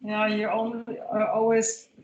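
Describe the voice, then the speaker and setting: Slow-speaking woman in her fifties, echoey room. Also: mid-range voice, completely flat delivery, darkish tone, muffled recording. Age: 50s. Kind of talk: casual chat. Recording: echoey room with light background noise.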